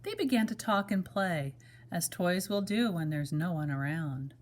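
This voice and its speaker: A woman reading a children's story aloud in a measured, expressive manner, as if to young children. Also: fairly low pitch. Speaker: storybook reader. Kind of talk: children's story read-aloud with brief asides to listeners.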